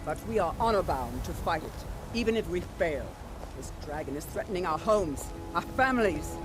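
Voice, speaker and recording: A woman's voice: lowish voice, animated, moderate pace, natural voice. A woman playing a stern elven housecarl who gives blunt, urgent commands in a fantasy video game. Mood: grave command. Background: game music.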